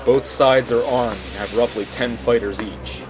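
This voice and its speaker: A man's voice: mid-range voice, a little slow, natural voice, rough recording. Formal soldier reporting, acted by a man, music behind. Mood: urgent, formal. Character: dutiful male soldier reporting to his general. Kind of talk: audio drama acting. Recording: background music under the voice.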